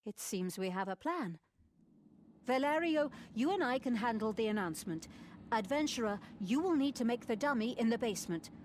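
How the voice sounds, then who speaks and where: Woman playing a stern, commanding abbess, slightly high voice. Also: animated, normal speed, slight background noise, lightly acted. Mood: resolute. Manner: authoritative, formal. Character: stern, resolute abbess in a fantasy abbey. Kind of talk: scripted video game dialogue.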